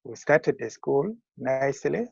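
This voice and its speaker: An older man in his sixties with a Ugandan accent, giving measured, formal interview answers as a school founder. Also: medium pitch, animated, creaky, breathy edge, dry recording. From northern Uganda. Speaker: school founder and retired teacher. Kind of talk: interview answers on a video call. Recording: muffled lo-fi call audio.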